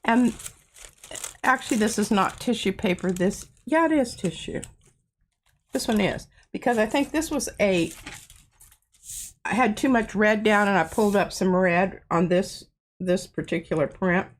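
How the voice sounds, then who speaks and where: Woman, stuffy nose, lively up-and-down voice, chatty craft host, faint hiss. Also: mid-range voice. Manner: chatty, self-deprecating, talks while working. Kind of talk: casual art demonstration. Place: home art studio.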